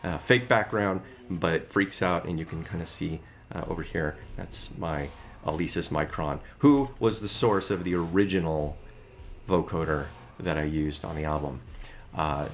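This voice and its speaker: A man's voice: low-pitched, normal speed, a little hiss or hum. A middle-aged man talking casually about his music gear, chatty and a bit rambling.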